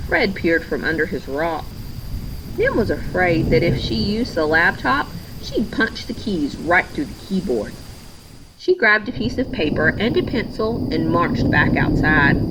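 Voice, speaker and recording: A woman reading a children's storybook aloud in a measured, expressive way. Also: fairly low pitch, noisy background. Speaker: storybook reader. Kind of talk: scripted children's story narration, read aloud.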